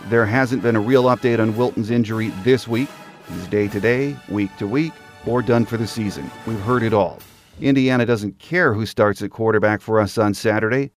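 A man hosting a sports podcast, presenting commentary and interviewing a guest. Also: medium pitch. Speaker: podcast host.

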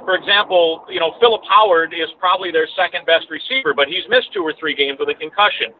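Over a thin, phone-like connection, a man with a slightly high voice talks sports as an interview guest. He is a radio announcer who chats discursively, rewording his points as he goes. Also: fast talker, slight background noise. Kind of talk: conversational sports analysis. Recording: thin, narrowband phone-like line.

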